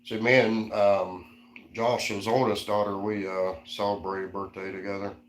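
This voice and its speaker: A man's voice: lowish voice, level tone, a little slow, echoey room, rough recording. A man in his forties co-hosting a casual live-stream chat, easygoing and reading out viewer comments. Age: 40s. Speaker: co-host.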